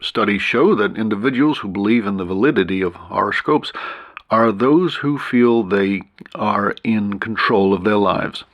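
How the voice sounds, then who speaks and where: An Australian man, middle-aged or older, presenting a podcast and reading old newspaper articles aloud in a measured, storytelling way with asides. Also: fairly low pitch, a bit bright.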